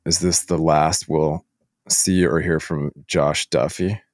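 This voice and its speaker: Low-voiced man interviewing, dry sound. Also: fairly even intonation, a little mellow, dry recording. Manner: halting, meandering questions. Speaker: podcast host, interviewer. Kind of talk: casual interview.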